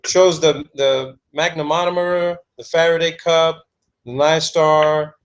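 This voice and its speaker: Male caller, fairly high voice, eager, repeats words. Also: fairly even intonation, slow pace, rough recording. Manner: stammering, eager questions. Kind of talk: phone conversation.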